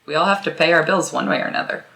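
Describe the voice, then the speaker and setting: A woman's voice: fairly low pitch, steady tone, rapid-fire, vocal fry, sharp tone. A woman hosting a podcast interview, reflecting back and summarizing the guest's points at length. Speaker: podcast host. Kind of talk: interview conversation. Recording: roomy echo.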